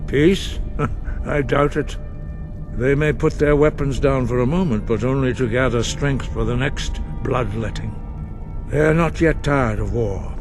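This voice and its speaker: An actor playing a weary, disapproving elderly monk elder, solemn and measured, in a fantasy game. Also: male voice, mid-range voice, close to an everyday voice. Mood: grave, weary. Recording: prominent game music behind.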